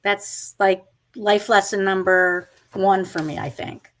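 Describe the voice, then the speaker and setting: A woman's voice: medium pitch, steady tone, slow. Appreciative woman interview host. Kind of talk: interview hosting, reading excerpts aloud.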